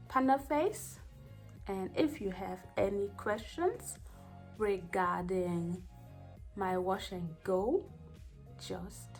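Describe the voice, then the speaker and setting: A woman with a strong German accent chatting casually in a vlog, rambling and pausing with fillers as she talks. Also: mid-range voice, a little mellow. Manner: unhurried, rambling, with many pauses and fillers. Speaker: hair vlogger. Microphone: voiceover microphone.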